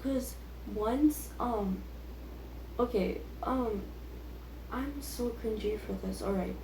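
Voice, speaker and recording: A woman's voice: a little high, takes it slowly. A teenage girl chatting casually and rambling, with a self-deprecating, youthful way of talking full of filler words. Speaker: vlogger. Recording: echoey room with faint background noise.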